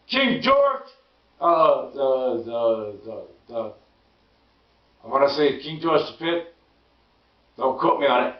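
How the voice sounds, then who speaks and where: Hoarse stammering man, slow and roomy. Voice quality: stammers and repeats words. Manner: emphatic, rising pitch when indignant. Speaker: political commentary vlogger. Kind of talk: casual political monologue. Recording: roomy distant lo-fi sound.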